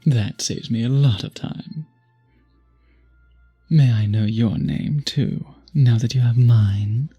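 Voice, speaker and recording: A man voicing a charming, seductive vampire in a romantic ASMR roleplay, husky and breathy with a courtly, teasing manner, often dropping to a whisper. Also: mid-range voice, mellow, faint music behind. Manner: slow, courtly, teasing, flirtatious. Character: charming, seductive male vampire at a masquerade ball.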